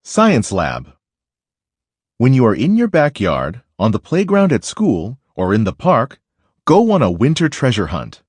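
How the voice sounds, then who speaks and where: A man reads a children's nonfiction book aloud at a slow, deliberate pace. His pitch moves up and down dramatically from phrase to phrase. The voice is slightly husky, and he phrases things for young listeners. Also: huge pitch swings, darkish tone, dry recording. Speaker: reader of a children's picture book.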